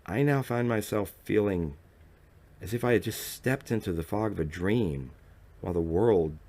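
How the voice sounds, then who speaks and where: Low-voiced man reading a story. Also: normal speed, darkish tone. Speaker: audiobook narrator. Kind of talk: scripted literary narration, first-person story reading.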